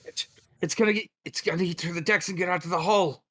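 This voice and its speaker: A man playing a gruff, agitated spaceship crewman in a sci-fi script read. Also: high-pitched, steady tone, fast, bright, forceful tone, heavily acted. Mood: alarmed.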